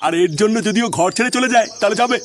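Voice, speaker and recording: A man's voice: sits high, rapid-fire, natural voice. A man voicing an older, foul-mouthed grandfather in a comedy cartoon, a crude and nagging head of the household. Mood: scolding. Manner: scolding, crude ranting.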